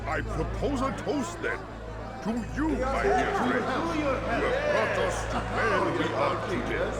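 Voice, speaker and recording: Theatrical, high-voiced corrupt lord. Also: male voice. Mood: grandly gracious. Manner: oratorical, theatrical, slow dramatic pauses. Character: corrupt, grandiose, bitter merchant lord addressing his guests. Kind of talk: video game dialogue. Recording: loud music and crowd behind.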